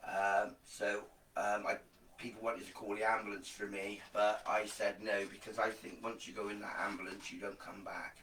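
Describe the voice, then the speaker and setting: A man's voice: lowish voice, flat delivery, moderate pace, a bit bright, reverberant, recorded from a distance, slight background noise, low-quality recording. A man with a groggy voice, chatting casually and effusively to viewers of his live stream, rambling with many fillers and repeated words. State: groggy voice after illness. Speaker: live streamer promoting an online program.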